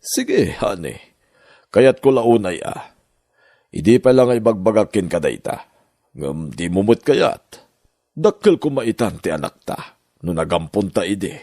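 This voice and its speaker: An Ilocano-accented man playing a devoted, hesitant husband in a radio-style drama, speaking deliberately. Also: fairly low pitch, very animated, darkish tone, dry recording, clean studio sound, full character voice. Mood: surprised, agreeable.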